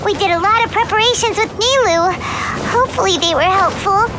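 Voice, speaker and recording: A woman voicing a small, excitable fairy companion in a video game, with a high, squeaky, bubbly voice. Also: brisk, noisy background. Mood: chirpy. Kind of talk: game voice acting.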